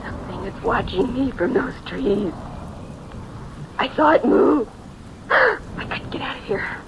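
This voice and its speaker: A woman's voice: a little high, sing-song, a little slow, highs cut off. An actress playing a frantic, grief-stricken woman talking breathlessly to herself in an old-time radio thriller. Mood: terrified. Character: frantic, grief-stricken woman searching for her buried sweetheart. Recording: noisy old radio recording, rain behind.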